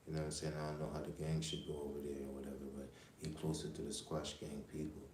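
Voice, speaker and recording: A man from Jamaica with a very deep, dark, flat voice rambles through a profane livestream monologue. He leans on slang and keeps checking in with his listeners. The sound is roomy and echoey, with a faint hiss underneath. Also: fast talker. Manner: rambling, profane, streetwise, repeats "you know what I'm saying". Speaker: livestream host.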